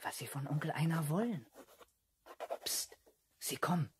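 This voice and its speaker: A man's voice: a little high, animated, measured pace, a little hiss or hum. An actor in a 1970s German children's radio play playing an eager, watchful boy detective, earnest and secretive. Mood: secretive, excited.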